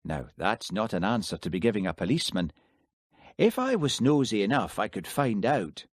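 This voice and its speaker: A man's voice: on the low side, very animated, normal speed, no echo. A man doing a dry village policeman. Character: Highland police constable, easygoing and shrewd.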